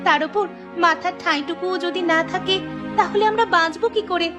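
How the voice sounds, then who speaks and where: A woman's voice: extremely high pitch, a little fast, music in the background. A woman voicing a poor, sad and frightened young village girl in a cartoon, with a plaintive manner. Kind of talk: dubbed cartoon dialogue.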